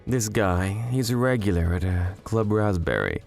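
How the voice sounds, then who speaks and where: Matter-of-fact underworld informant. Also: male voice, lowish voice, very animated, normal speed, gravelly, a little mellow, faint music behind.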